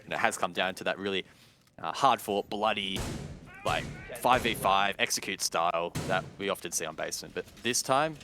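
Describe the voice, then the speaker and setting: A man doing live play-by-play commentary on an esports match, analytical and excitable on key plays. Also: low voice, moderate pace, over music.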